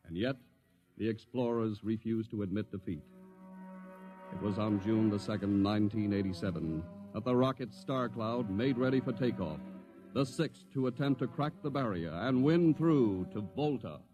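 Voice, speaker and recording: An American man narrating a 1950s radio science fiction show in a dramatic, measured, portentous announcer style. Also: fairly low pitch, mellow, highs cut off. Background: dramatic music.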